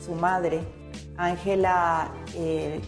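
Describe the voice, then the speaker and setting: A woman's voice: on the low side, flat delivery, drawn-out words, over music. A middle-aged woman from Paraguay whose first language is Italian, chatting casually as a guest in a TV studio, with many fillers and restarts. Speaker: interview guest, painter. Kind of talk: casual interview chat. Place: TV studio set with plants.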